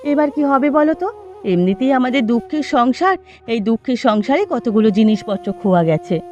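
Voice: mid-range voice, animated, normal speed, a little mellow, muffled recording, close to an everyday voice. A performer voicing gossipy, hospitable village women in a Bengali cartoon, with music behind. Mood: worried. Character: village women, gossiping mother-in-law and daughter-in-law, hospitable but scornful. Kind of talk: dubbed Bengali cartoon dialogue.

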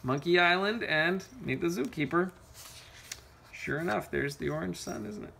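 A woman with a low voice reads a picture book to children at home. She chats casually between passages and asks simple questions. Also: moderate pace, vocal fry, fairly bright tone, slight background noise. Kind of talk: casual storybook read-aloud with questions. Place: carpeted room at home.

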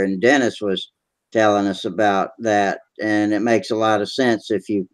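An older man hosting a casual video call chat, easygoing as he explains things. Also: fairly low pitch. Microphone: webcam on a video call.

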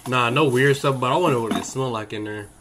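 A man's voice: mid-range voice, fast talker, noisy background. A young man reacting to a video from his bedroom, joking and slangy, speaking into a headset mic. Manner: joking, slangy, talks to viewers as "bro". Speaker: reaction streamer. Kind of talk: casual reaction commentary. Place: bedroom streaming setup.

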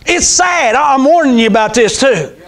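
High-pitched preacher man in his sixties. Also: animated, normal speed, fairly bright tone. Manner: emphatic, repetitive, calls out amens and rhetorical questions. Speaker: pastor preaching to a congregation. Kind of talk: informal, fervent extemporaneous sermon. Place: small church sanctuary, indoors. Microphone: clip-on lapel mic.

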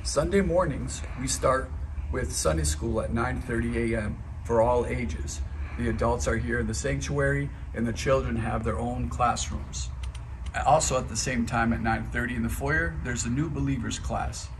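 A man's voice: mid-range voice, normal speed. Middle aged guy doing church announcements, roomy sound, noise in the background. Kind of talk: scripted announcements to camera. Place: large indoor church foyer and halls. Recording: roomy, distant, noisy hall sound.

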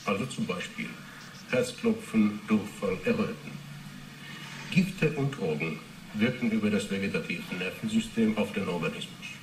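A man acts an earnest Finnish neurology doctor in his thirties, defensive under questioning and prone to lecturing. His voice is low and articulate. The radio drama recording is roomy, with clear noise behind him. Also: normal speed, distant mic, lightly acted. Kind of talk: 1980s German radio drama acting. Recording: roomy, noisy interrogation recording.

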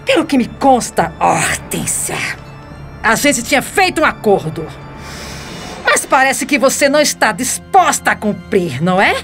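A venomous, domineering schemer with a high Brazilian voice that swoops wildly. She is mocking and emphatic, in radio soap style, over a music bed. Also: sing-song, measured pace, a bit bright, full character voice.

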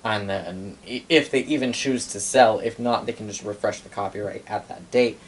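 A young man casually explaining things like a storyteller, halting and correcting himself as he goes, picked up by a camera across a room. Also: lowish voice, slight background noise. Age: young adult. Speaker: storyteller and game master. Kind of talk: casual rambling explanation of fantasy game lore. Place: small cluttered living room, indoors.

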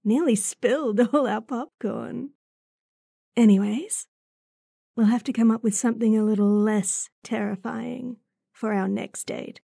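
A woman acts out an idealistic young werewolf with a quick temper for an audio drama. Her voice is raspy with plenty of fry, and she talks slowly, casual and blunt, in a dry close recording. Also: a little high, dark tone, no room sound, full character voice. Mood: affectionate, casual. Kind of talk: audio roleplay drama acting.

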